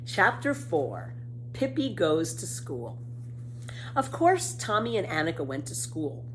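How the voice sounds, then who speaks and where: A woman narrating a children's book expressively, with deliberate emphasis. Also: low-pitched, sing-song, slight background noise, poor audio. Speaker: storybook reader.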